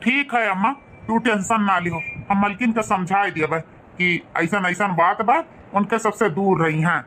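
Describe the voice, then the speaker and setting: A placating village husband from an Indian family cartoon, played by a man. He has a high, altered voice with a sharp, bright edge. His accent is Awadhi. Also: moderate pace, a little hiss or hum. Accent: Awadhi, northern India. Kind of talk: rural family cartoon dialogue.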